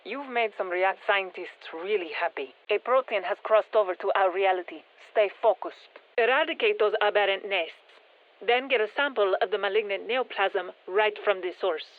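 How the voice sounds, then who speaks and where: A woman playing a brisk, tech-minded science operative in a video game, giving matter-of-fact, wry mission instructions over a radio filter. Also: medium pitch, narrow, tinny audio. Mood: brisk, focused. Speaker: mission handler. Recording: thin band-limited radio comms sound.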